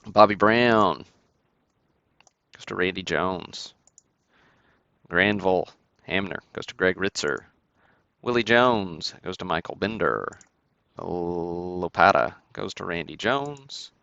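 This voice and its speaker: A man's voice: low voice, slow pace, creaky, long pauses, sharp tone, clear, resonant tone, no room sound, lo-fi sound. A man hosting a sports card break livestream, casually calling out cards and buyers and chatting to viewers in an easygoing way.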